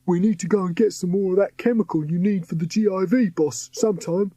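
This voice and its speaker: Man voicing a crude fawning henchman, higher than his own voice, husky and dry. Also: fairly quick, dark tone, no room sound. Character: rough sniggering grown male henchman.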